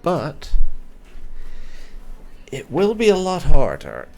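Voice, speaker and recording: A man's voice: on the high side, wildly swooping pitch, slow, vocal fry, a little mellow. A man giving casual gameplay commentary as a video host, laid-back and dryly joking. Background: game music.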